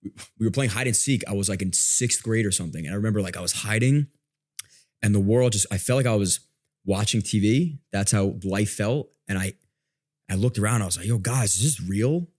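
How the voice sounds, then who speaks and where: Fast-talking, low-pitched man in casual chat. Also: a little mellow. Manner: informal, slangy, thinks aloud.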